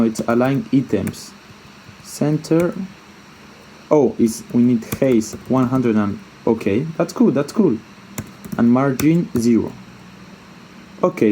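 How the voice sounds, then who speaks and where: Male tutorial presenter, soft mellow tone, informal and hesitant, thinks out loud as he demonstrates. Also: medium pitch, slight background noise, low-quality recording. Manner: informal, hesitant thinking aloud, repeats himself, mild swearing. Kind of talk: casual unscripted screen-share coding tutorial.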